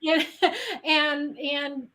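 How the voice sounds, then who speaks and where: A woman's voice: high voice, flat delivery, drawn-out words, pushed and bright. A middle-aged woman chatting casually as an interview guest. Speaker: interview guest, horror writer. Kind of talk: casual chat.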